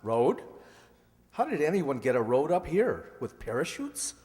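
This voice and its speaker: A man playing a grumbling, sarcastic but affectionate husband in a light radio mystery, wry, halting and exasperated. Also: fairly high pitch, big pitch swings, darkish tone. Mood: weary, sarcastic. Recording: reverberant hall stage recording.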